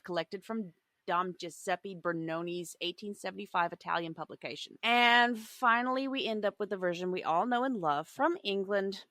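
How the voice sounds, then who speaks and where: A woman with a mid-pitched voice co-hosts a podcast discussion. She shifts between prepared notes and casual chat. The recording is close and dry, with hardly any room sound. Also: bright, forceful tone, no room sound. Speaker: podcast co-host.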